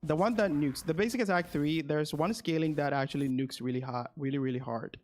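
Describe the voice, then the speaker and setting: A man with a slightly high voice hosts a game stream. He explains gameplay casually, addresses his viewers and often repeats the start of a phrase while working things out. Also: a little fast. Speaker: streamer and game guide host.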